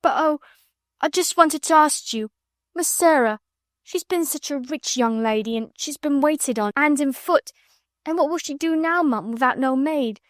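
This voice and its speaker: A woman's voice: high-pitched, normal speed, no room sound, clean studio sound, voice pushed up, close to an everyday voice. A woman voicing a timid young scullery maid, pleading and sniffling. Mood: tearful pleading.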